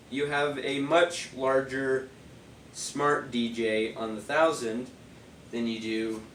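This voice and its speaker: A man's voice: mid-range voice, fairly bright tone, distant mic, slight background noise. Sniffly man reviewing speakers, echoey room. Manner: rambling, loose, many fillers. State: stuffy nose, sniffly. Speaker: product reviewer. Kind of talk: casual product review.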